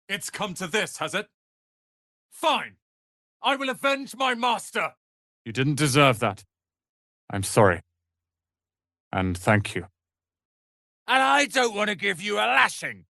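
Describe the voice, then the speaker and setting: A man's voice: fairly high pitch, creaky, sharp tone, dry recording, heavily acted. A proud young wizard's apprentice for a fantasy game. He speaks in a pompous, cutting, theatrical way, with very close, dry studio sound. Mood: desperate, then subdued sorrow, then anguished anger. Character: haughty, ambitious young male wizard's apprentice, proud and sardonic. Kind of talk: dramatic video game voice acting.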